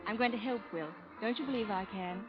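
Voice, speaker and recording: A woman's voice: medium pitch, a little fast, close to an everyday voice. An actress playing a genteel, earnest and determined lady in a vintage radio drama. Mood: earnest, insistent. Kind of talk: 1930s radio acting. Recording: muffled vintage radio recording, music behind.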